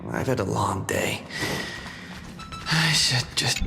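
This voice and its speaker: A man voicing a weary, overwhelmed young traveler in a video game, sighing and murmuring in a drawn-out way. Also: on the low side, expressive, gravelly, exaggerated voice. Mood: tired, indecisive. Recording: loud background music.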